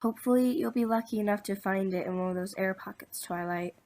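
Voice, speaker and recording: A woman voicing a kindly, concerned female pony princess, gently explaining matters in a story reading. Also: mid-range voice, fast talker, close to an everyday voice. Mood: hopeful.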